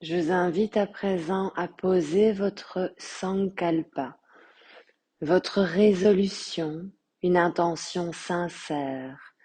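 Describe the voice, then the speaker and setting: A woman yoga teacher leads a guided meditation in a soft, husky voice. Her tone is mellow and on the low side. She speaks extremely slowly and calmly, leaving long pauses between phrases. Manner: calm, soothing, measured with long pauses. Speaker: yoga teacher guiding relaxation.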